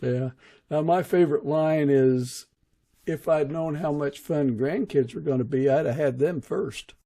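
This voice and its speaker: A man's voice: a little high, measured pace, warm tone. A middle-aged or older man hosting a casual interview, asking his guest questions conversationally. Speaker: podcast host, interviewer.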